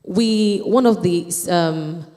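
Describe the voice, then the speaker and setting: A woman's voice: lowish voice, slow pace, mellow. A woman moderating a panel discussion, posing questions casually and checking in with the room. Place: church hall event. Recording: reverberant church hall.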